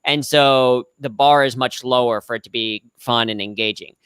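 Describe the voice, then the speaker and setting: Slightly nasal man, smooth and dry. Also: medium pitch, moderate pace, fairly bright tone, no room sound. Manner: upbeat, explanatory, self-deprecating. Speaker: guest, humor trainer. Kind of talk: conversational interview answers.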